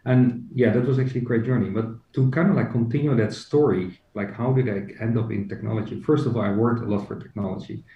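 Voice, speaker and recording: A man's voice: medium pitch, fast, few pauses. Hesitant man on a dull, distant, roomy line. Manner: hesitant, many fillers and restarts. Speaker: podcast guest, HR executive and company co-founder. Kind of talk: casual interview. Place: joining remotely from home.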